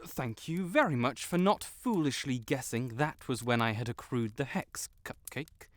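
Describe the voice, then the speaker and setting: A man playing a vain, stuck-up young wizard with a secret soft spot, pompous and theatrical, in a roleplay audio drama. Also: mid-range voice, animated, normal speed, dry recording, natural voice. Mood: boastful. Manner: pompous, theatrical, haughty, flustered outbursts. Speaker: voice actor.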